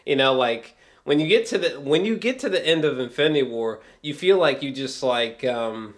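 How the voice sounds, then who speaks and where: A man chatting casually as an amateur film reviewer, geeky and gushing, swearing freely and often stumbling back over his words. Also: medium pitch. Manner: halting, repeats himself, often breaks into chuckles.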